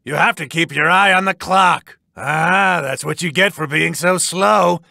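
Haughty, drawling, gravelly cat god. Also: male voice, a little high, unhurried, sharp tone, no room sound, clean studio sound, heavily acted. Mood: disappointed, scolding. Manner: theatrical, condescending, drawling. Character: haughty, playful male cat-like god of destruction. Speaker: video game character. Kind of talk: video game fighting voice lines.

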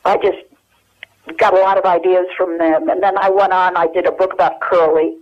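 An American woman in her eighties reminiscing casually and haltingly as an interview guest over the telephone. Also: lowish voice, level tone. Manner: halting, searching for memories. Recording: dull, lo-fi telephone hook-up.

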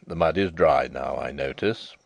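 A man's voice: a bass voice, normal speed, gravelly, no echo, voice pushed down, heavily acted. A man voicing a deferential, precise manservant giving a formal report. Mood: respectful.

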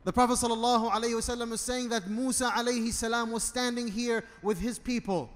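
A man in his thirties preaching a sermon as an imam, phrasing deliberately and emphatically in short bursts. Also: very high-pitched, fairly even intonation. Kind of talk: sermon with Arabic recitation. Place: indoor prayer hall at a pulpit. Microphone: microphone on a stand. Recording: long hall reverb.

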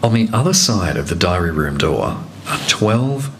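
A man speaking as the unseen voice of a reality show, giving slow, measured, commanding announcements. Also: sits low, big pitch swings, fairly bright tone, a little hiss or hum. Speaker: reality show voice of Big Brother. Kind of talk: scripted announcements.

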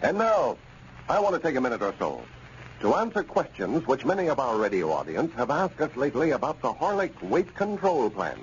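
A male announcer from old-time radio with a mid-pitched, extremely animated delivery. He is formal and persuasive, pitching the sponsor. The recording sounds muffled. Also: fairly quick, a little hiss or hum.

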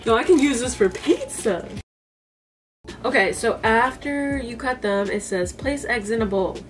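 A woman's voice: on the high side, expressive, measured pace, distant mic, slight background noise. A woman in her twenties with an American accent, chatting informally and thinking aloud as she films herself cooking in a home kitchen. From United States, East Coast. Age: 20s. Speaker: cooking vlogger. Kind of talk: casual chat to camera while cooking. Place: small home kitchen, indoors. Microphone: camera a few feet away. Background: music.

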